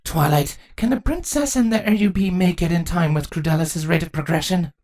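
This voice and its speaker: A woman's voice: lowish voice, a little fast, darkish tone, voice pushed up, natural voice. A woman voicing a commanding changeling queen in a fantasy story, stern, grave and authoritative. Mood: solemn.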